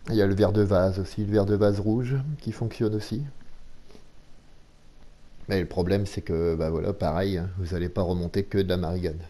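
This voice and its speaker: A man narrating a casual fishing game tutorial, halting often with fillers as he explains. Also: sits low, fairly even intonation, dark tone.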